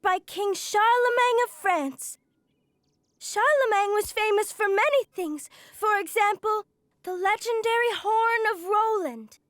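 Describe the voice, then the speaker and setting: A woman's voice: very high-pitched, a little slow, fairly bright tone, dry recording. A woman voicing a dramatic, imaginative schoolgirl in a cartoon, speaking in grand, theatrical language. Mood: earnest, presenting. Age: child character. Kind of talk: animated series voice acting.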